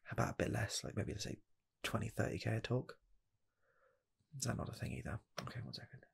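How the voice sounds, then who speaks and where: A man playing an earnest rocket scientist applying for a fast food job, in a soft, half-whispered ASMR roleplay voice that rambles and restarts. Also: lowish voice, animated, creaky, breathy edge, full character voice. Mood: torn. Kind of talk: ASMR personal attention roleplay.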